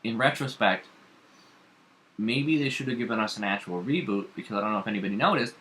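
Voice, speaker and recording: A man chatting casually about comic books, rambling and animated, with lots of fillers and restarts. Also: lowish voice, quick pace, slight background noise. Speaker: comics podcast co-host. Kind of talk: casual chat.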